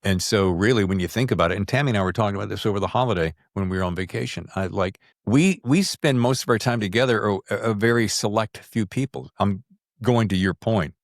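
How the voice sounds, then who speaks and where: Low-voiced man hosting a podcast, dry sound. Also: quick pace, no echo. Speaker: podcast host. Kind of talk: conversational podcast interview.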